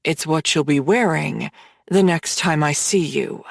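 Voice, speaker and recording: Woman voicing a smug commanding man, low and slow. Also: gravelly, no echo, studio quality, full character voice.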